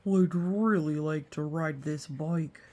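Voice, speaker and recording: A woman voicing an anxious, gloomy male walrus from a children's storybook, fretful and sighing in a lowered, heavy voice. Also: slow pace, warm tone. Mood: worried, sighing.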